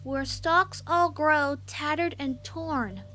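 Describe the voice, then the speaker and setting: Woman as a very high-pitched stubborn country filly. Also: slow pace, sharp tone, faint music behind, exaggerated voice. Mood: eerie reciting. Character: young country filly pony, stubborn and uneasy. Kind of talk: fan fiction audio drama acting.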